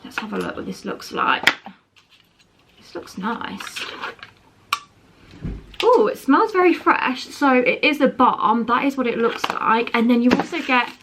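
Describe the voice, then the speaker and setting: A woman's voice: fairly high pitch, animated, a little slow, long pauses, background noise. A young woman vlogging at home, chatty and rambling, wheezy from a chest infection. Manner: chatty, rambling, self-correcting. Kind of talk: casual chatty vlog. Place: home, indoors.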